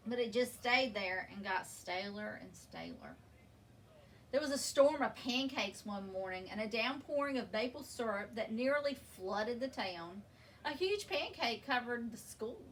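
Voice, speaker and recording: A woman reading a children's picture book aloud in an expressive, deliberate storytelling manner, leaning on key words. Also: fairly high pitch. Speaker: story reader. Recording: distant microphone with background noise.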